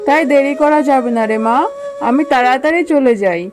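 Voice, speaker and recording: A high, meek mother jackal from a children's cartoon, voiced by a woman. She is gentle and deferential, a poor maid who pleads and soothes. Music plays clearly behind the voice. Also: a little fast, lightly acted. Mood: worried, explaining.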